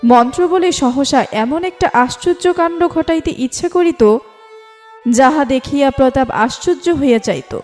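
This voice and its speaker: Female story narrator, high register, formal literary read over soft instrumental music. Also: a little slow. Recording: music bed under the voice.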